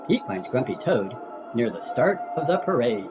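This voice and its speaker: A woman reading children's storybooks aloud as an animated narrator, with exaggerated expression and music behind her. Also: a bass voice, normal speed. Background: music and sound effects.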